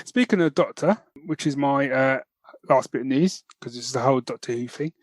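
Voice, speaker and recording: A man co-hosts a podcast in a very dry, close-sounding recording. His mid-pitched voice is informal and colloquial. He talks as in casual chat, often turning to check that he is followed. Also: fairly quick, no room sound. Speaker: podcast co-host. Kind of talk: casual chat about geek news.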